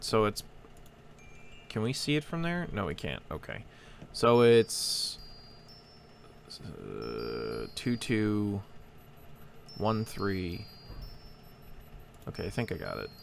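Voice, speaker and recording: A man's voice: lowish voice, big pitch swings, takes it slowly, gravelly, pauses often, slight background noise. A man hosting a game playthrough with casual commentary, hesitant and rambling as he thinks aloud. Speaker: host of a gameplay channel.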